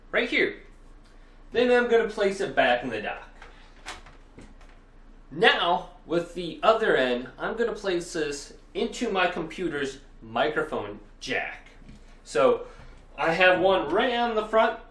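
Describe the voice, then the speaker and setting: A man's voice: on the high side, fairly bright tone, slightly rough. A man presenting a casual step-by-step tech tutorial in an upbeat, emphatic presenter's delivery. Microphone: Blue Yeti desktop microphone.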